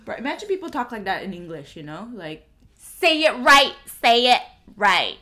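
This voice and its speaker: Animated, bright-voiced woman chatting. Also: medium pitch, moderate pace. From Filipino and Sri Lankan heritage. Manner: playful, animated, laughs often. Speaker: podcast co-host. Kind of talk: casual chat. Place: Florida.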